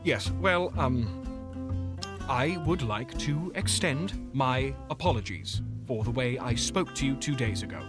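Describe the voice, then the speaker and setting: An actor playing an exasperated, clipped doctor in an audio drama. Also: male voice, mid-range voice, expressive, slow, over music. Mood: awkward. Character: stern, harried doctor, middle-aged man. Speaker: Dr. Watson.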